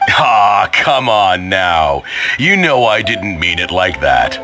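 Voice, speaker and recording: A man voicing a kindly middle-aged male merchant and father, warm, generous and chuckling. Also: deep voice, big pitch swings, slow, full character voice. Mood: friendly. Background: game music.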